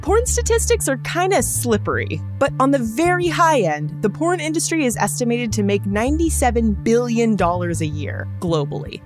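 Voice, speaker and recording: A woman hosting a podcast, reading scripted narration in a conversational storytelling style with wry, joking asides. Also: a little high. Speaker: podcast host.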